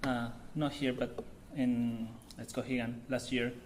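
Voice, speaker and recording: A man in his thirties with a Chilean accent speaks at a mid-range pitch, talking loosely and haltingly as he searches for his words. A faint hiss sits under his voice in a lecture room. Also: little pitch movement, a little mellow. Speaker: visiting artist. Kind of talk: public artist talk.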